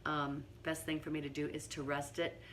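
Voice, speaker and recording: Hoarse low-voiced woman, quiet kitchen talk. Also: level tone, moderate pace, slight background noise. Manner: quiet, partly whispered speech. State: hoarse from laryngitis. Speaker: home cooking host. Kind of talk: casual cooking demonstration. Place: home kitchen.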